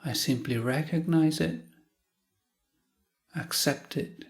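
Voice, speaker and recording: A man leading a guided meditation in short, calm phrases with long pauses. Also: fairly high pitch. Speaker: meditation teacher.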